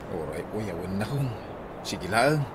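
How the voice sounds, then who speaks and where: Soft, pleading lovestruck man. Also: fairly low pitch, expressive, measured pace, background noise. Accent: Ilocano. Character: earnest, pleading lovestruck suitor. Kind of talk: Ilocano radio comedy drama acting.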